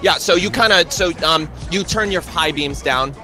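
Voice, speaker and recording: A man narrating a tabletop roleplay as game master, describing scenes in the second person in a halting way. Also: fairly high pitch, music in the background.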